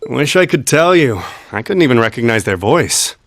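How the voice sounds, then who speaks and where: An actor playing a gruff but good-hearted young rescuer in an anime game dub, blunt and casual. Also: male voice, medium pitch, big pitch swings, normal speed, fairly bright tone, dry recording, clean studio sound. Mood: offhand, puzzled.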